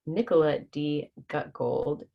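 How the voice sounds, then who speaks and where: Woman w a low voice doing a kids book read-aloud on a livestream, chatty and informal, keeps it simple. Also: takes it slowly, creaky, lo-fi sound. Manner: informal, explains hard words simply for children. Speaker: host reading a children's book on a livestream.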